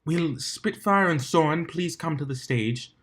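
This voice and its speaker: Formal stage announcer pony, read by a man: proclaiming, raised pitch, storybook style. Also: animated, a little slow, a bit bright.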